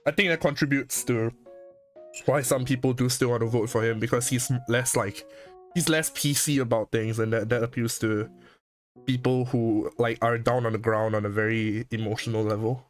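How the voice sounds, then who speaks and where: A man's voice: medium pitch, fairly even intonation, moderate pace, faint music behind. A man from Singapore co-hosting a casual chat, rambling with many restarts and swearing heavily. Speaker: co-host.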